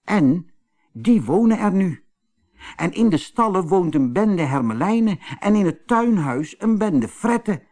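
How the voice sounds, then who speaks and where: A man's voice: sits high, sing-song, a little slow, darkish tone, voice pushed up, full character voice. A man voicing a caring, anxious male water rat in a storybook, earnest and fretful. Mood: grave.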